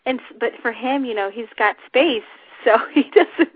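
Female talk show host, informal and unscripted, slightly husky and scratchy, dry phone-quality sound. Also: fairly high pitch, moderate pace, no echo. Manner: chatty, informal. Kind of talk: unscripted casual radio chat. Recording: tinny phone-line internet radio audio.

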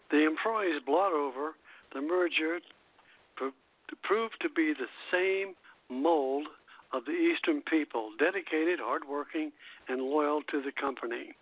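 Man hosting radio over a phone-like line. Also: a little high. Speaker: radio show host. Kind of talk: scripted announcements and narration on an internet radio show. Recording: phone-like narrow band, faint music.

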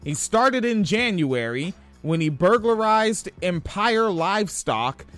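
A young man from Washington State talks in a high, light voice, reading odd news stories and breaking off into rambling, profane asides. He laughs often and keeps things loose and casual. Faint music sits in the background. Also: lively intonation, slow pace, fairly bright tone. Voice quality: high-pitched voice. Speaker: podcast host.

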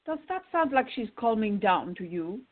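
Radio play role: loyal but bossy older woman voiced by an actress, husky, very animated, forever exasperated. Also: fairly high pitch, moderate pace, narrow, tinny audio. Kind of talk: radio theater acting.

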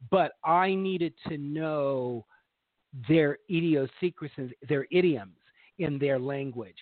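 A chatty man with a slightly high voice tells long, animated stories about his stage work. He sounds squeezed through a narrow, tinny phone line, bone dry with no room around him. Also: no room sound. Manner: animated, long-winded storytelling. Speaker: talk show co-host and stage actor. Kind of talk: casual chat. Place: Los Angeles.

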